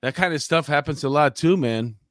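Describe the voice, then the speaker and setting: A man's voice: on the high side, a little fast, fairly bright tone, dry recording, studio quality. Casual New man, chatty co-host. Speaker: talk show co-host. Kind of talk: casual banter on a live chat show.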